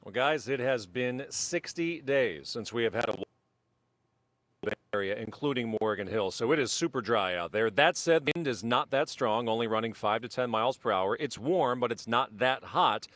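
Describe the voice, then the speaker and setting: A man's voice: mid-range voice, moderate pace, fairly bright tone, no echo. A male meteorologist giving a chatty, upbeat weather update. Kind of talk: weather report.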